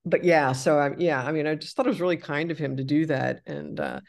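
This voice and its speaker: A man's voice: fairly high pitch, fairly quick, creaky. A man in his sixties from the Midwest, hosting a casual interview in a friendly, agreeable way with many fillers. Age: 60s. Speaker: interview host.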